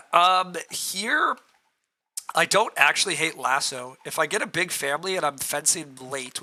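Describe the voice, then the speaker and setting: A man with a hoarse, roughened voice talks through his moves on a live game stream. His tone is bright and edged, and he clears his throat now and then. He reasons aloud and answers viewers' comments. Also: on the high side. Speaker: streamer playing a board game.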